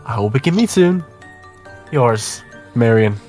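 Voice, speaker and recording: A man's voice: medium pitch, expressive, measured pace, darkish tone, faint music behind, lightly acted. A man voicing a chatty, affectionate young female letter writer in a visual novel, conversational and self-deprecating. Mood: wistful, fond.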